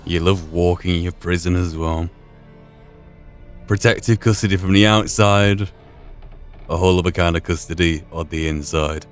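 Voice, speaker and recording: A man's voice: sits low, fairly even intonation, normal speed, long pauses, soft background music. A man giving in-character commentary on a video game, musing aloud and rephrasing his own thoughts. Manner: musing, repeats and rephrases himself. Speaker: gaming video host.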